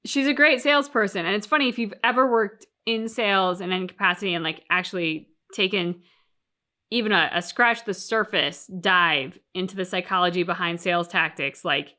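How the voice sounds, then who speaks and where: A woman's voice: mid-range voice, fairly quick, sharp tone. A woman co-hosting a reaction channel, talking through her thoughts casually and emphatically, with plenty of colloquial turns of phrase.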